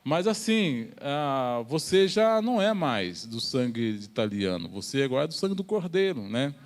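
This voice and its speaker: A man with a Brazilian accent preaching a church sermon, explaining in a halting way with repeated phrases and pauses. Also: medium pitch, sing-song.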